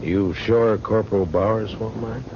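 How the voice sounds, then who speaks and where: Casting: male actor as laconic middle-aged marshal, radio western, very low voice, terse and dry, on a dull old recording. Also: steady tone, normal speed, lightly acted. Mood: terse, probing. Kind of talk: 1950s radio western acting. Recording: muffled old radio recording with hum and hiss.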